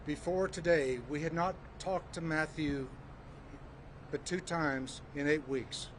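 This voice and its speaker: A soft-spoken man, uncle of a detainee, speaking in a measured way at an outdoor press event. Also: on the high side, steady tone. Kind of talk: public remarks at a press event. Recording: outdoor street noise.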